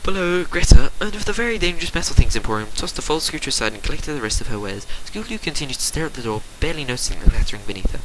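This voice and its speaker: A man reading fan fiction as a dramatic narration, theatrical and swinging between hushed and forceful, on an amateur home recording. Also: fairly high pitch, lively intonation, rapid-fire, few pauses, slight background noise, low-quality recording. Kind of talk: dramatic storybook-style narration.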